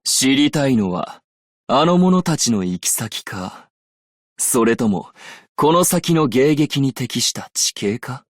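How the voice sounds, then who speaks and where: A composed, worldly desert merchant for a video game. He speaks slowly and formally, with shrewd dignity and measured phrasing. The recording is dry and close, with no room sound. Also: medium pitch, lively intonation, no echo. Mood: solemn, cool. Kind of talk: Japanese game character voice lines.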